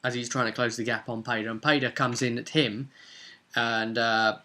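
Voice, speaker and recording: Male game master, mid-pitched and bright, informal session recap, rambling and halting storyteller. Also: level tone. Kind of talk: casual unscripted storytelling recap.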